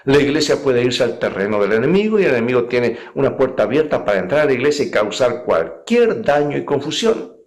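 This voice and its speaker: Guy pastor preaching, medium pitch, earnest and emphatic, talks right to the listener. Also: big pitch swings, a little fast, darkish tone. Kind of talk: sermon with illustrative anecdotes.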